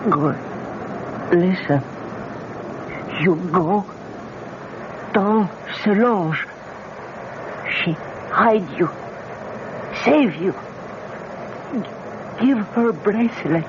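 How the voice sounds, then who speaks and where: A noisy old radio drama recording holds a dying king. His high-pitched voice drags out broken, pleading phrases, extremely slowly and deliriously. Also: expressive, full character voice. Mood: weak, urgent. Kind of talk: vintage radio drama acting. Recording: lo-fi radio recording, clear background noise.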